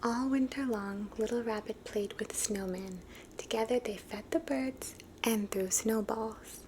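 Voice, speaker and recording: A woman reading children's stories aloud in a soft, unvoiced ASMR whisper. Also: on the high side, unhurried, slight background noise. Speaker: storybook reader.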